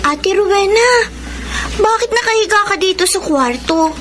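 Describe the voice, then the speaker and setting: A woman's voice: extremely high pitch, animated, moderate pace, over music. An actress playing a caring, earnest little girl, the youngest child, in a radio drama. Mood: concerned. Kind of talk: Tagalog radio drama acting. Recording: noisy radio drama track.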